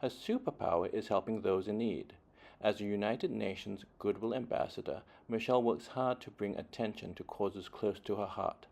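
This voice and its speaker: Male children's storyteller with a fairly low voice, reading expressively with deliberate phrasing and varied, dramatic emphasis. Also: gravelly, a little mellow. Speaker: children's book reader.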